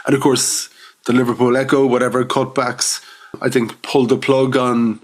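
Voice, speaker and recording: A man's voice: medium pitch, little pitch movement, moderate pace. Chatty man hosting a podcast. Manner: chatty, enthusiastic, laughs often. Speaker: podcast host. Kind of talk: casual interview chat.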